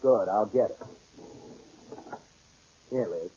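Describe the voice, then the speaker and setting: An actor playing an impatient, sarcastic husband in a 1940s radio comedy, exasperated and given to disbelief. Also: male voice, fairly low pitch, slow pace, warm tone, breathy edge. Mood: exasperated, alarmed. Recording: dull old radio recording.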